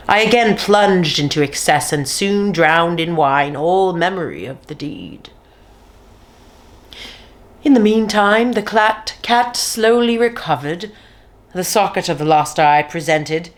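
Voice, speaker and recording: A woman reading a classic horror tale aloud in slow, deliberate dramatic narration, sometimes dropping to a near whisper. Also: on the low side. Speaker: reader of a classic horror story.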